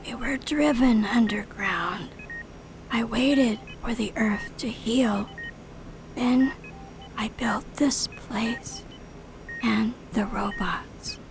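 A woman playing an older, dying half-robot dean in a radio drama, weary and breathy, speaking in halting whispers. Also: a little high, full character voice. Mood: dying, sorrowful. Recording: clear background noise.

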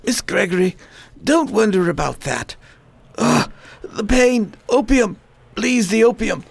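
A man's voice: sits high, animated, slow, gravelly, breathy edge, dry recording, exaggerated voice. A man playing a sick nobleman in a radio drama, his voice weak and pained. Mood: agonized pleading. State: weak, in pain. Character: sick, suffering man of rank, pleading then weary.

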